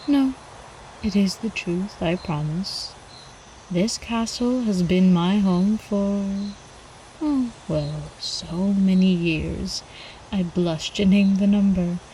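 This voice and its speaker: Lady acting as an old vampire countess for asmr, slow soft mellow murmur, refined and teasing, crickets behind. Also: fairly low pitch, a little hiss or hum, rough recording. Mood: coy, teasing. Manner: slow, refined, coyly teasing, formal old-fashioned diction.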